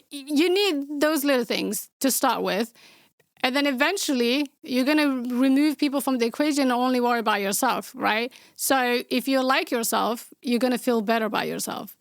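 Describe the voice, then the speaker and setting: A young woman with a Somali accent and a fairly high voice hosts a casual question-and-answer podcast. She talks bluntly and outspokenly, tossing in dry sarcastic asides. The sound is close and dry. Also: normal speed, vocal fry, dry recording. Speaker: podcast host.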